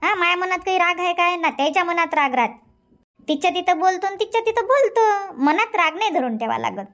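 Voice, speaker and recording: A woman's voice: very high-pitched, expressive, fairly quick, a bit bright, full character voice. A woman playing a chatty, nagging but loving village wife in a cartoon comedy, speaking rural Vidarbha Marathi with emphasis. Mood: chatty, explaining. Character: bickering but loving Indian village wife and mother-in-law. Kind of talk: cartoon comedy dub dialogue.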